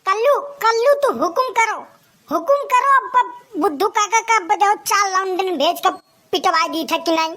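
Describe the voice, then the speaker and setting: Squeaky cartoon boy, very high pitch, Awadhi accent, cheeky, whiny, boastful. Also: lively intonation, a little slow, bright, forceful tone, exaggerated voice. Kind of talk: comic rustic cartoon dialogue.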